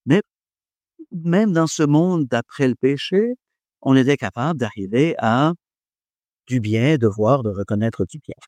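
A man's voice: medium pitch, lively intonation, unhurried, pauses often, darkish tone, dry recording. A man gives a reflective talk on faith and philosophy to an audience, hesitating often and joking about himself. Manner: hesitant, many fillers, self-deprecating humour.

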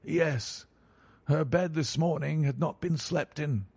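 A man reading a story, voicing a distraught elderly male banker who pleads in a higher, quavering voice. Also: slow, vocal fry, a little mellow, slightly rough, dry recording, heavily acted. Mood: grieving.

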